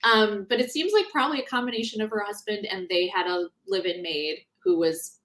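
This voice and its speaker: A woman author being interviewed in a casual book discussion, talking in an animated, conversational way and often breaking off mid-thought. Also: mid-range voice, fairly quick.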